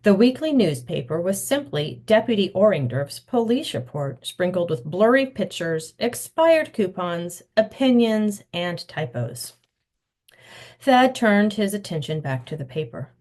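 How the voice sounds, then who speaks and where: A woman's voice: medium pitch, measured pace. A woman author reading her children's novel aloud, telling the story expressively. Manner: expressive storytelling, acting out the dialogue.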